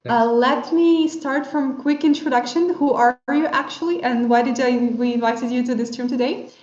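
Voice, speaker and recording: Woman host for a stream panel, fairly high voice, halting non-native phrasing, roomy muffled video-call sound. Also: a little mellow. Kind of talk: livestream panel hosting. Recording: roomy, dull lo-fi stream audio.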